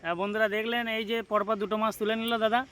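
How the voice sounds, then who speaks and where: A man giving excited, casual vlog commentary outdoors, speaking straight to his viewers. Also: high voice, very fast, clear, resonant tone. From West Bengal, India. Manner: excited, addresses viewers directly. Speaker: vlogger. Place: outdoors beside a pond.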